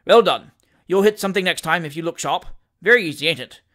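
A man voicing a bluff, impatient old country gentleman in a storybook reading, hearty and commanding. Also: a little high, fast, close to an everyday voice.

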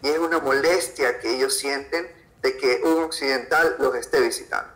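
A man's voice: medium pitch, normal speed, fairly bright tone, poor audio. A man with an Ecuadorian accent, answering conversationally as an interview guest. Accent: Ecuadorian Spanish. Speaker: podcast guest, freelance photographer.

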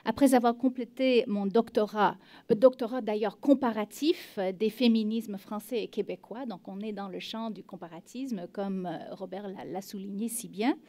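A middle-aged woman from Western Canada, a native English speaker, giving a measured academic talk from notes in a meeting room, speaking into a table mic. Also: medium pitch, dark tone. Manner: measured, reads from notes with frequent "euh" fillers. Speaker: professor on a conference panel. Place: meeting room with seated audience. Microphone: table mic on stand.

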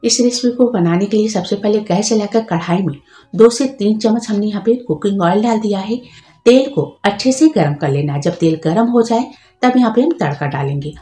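A woman hosts a home cooking tutorial, walking through each step patiently and adding practical tips. Her voice is mellow and unhurried. It sits in an echoey room with faint music underneath. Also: mid-range voice. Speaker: cooking channel host.

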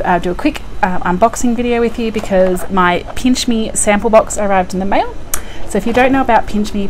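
A young woman with a hoarse, croaky voice from a cold, chatting casually to the camera at home with lots of ums. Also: medium pitch, darkish tone, slight background noise, rough recording. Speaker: couponing vlogger. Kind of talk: casual unboxing vlog. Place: indoors at home by a window. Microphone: lapel mic.

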